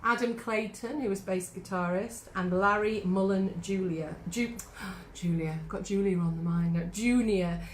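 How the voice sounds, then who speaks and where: A woman's voice: medium pitch, a little slow, reverberant, slight background noise. An English woman hosting a singalong, chatting casually, laughing often and reading facts aloud. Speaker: singing teacher and host. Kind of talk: casual chat.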